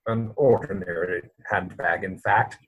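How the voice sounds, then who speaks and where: A man playing an earnest, anxious young gentleman suitor in a theatrical comedy reading, speaking with formal, hesitant politeness. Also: low-pitched, unhurried, husky, poor audio. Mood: sheepish.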